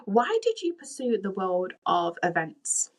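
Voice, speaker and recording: A woman's voice: medium pitch, very animated, a little slow. A woman hosting a podcast. She asks questions and reacts to the answers with encouraging affirmations. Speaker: podcast host. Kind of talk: interviewing a guest.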